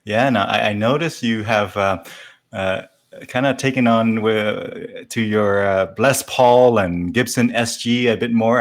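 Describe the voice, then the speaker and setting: A man hosting a casual interview, speaking politely in halting phrases as he leads the guest along. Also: lowish voice. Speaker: host.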